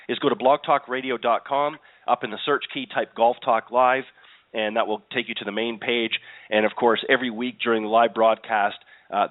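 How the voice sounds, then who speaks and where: A male radio host with a cold, his voice scratchy and hoarse, who rattles along quickly and fills the gaps with uh and restarted phrases. The sound is thin and narrow, like a telephone line, and dry, with no room around it. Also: medium pitch, no room sound. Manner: loose, full of uh fillers and restarts. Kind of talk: live internet radio show intro.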